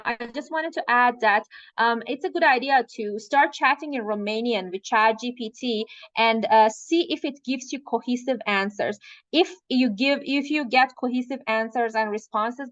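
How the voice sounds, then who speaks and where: A woman's voice: a little high, a bit bright, no echo, dull audio. A woman whose first language is Farsi, coaching a live online training and explaining at length with frequent fillers.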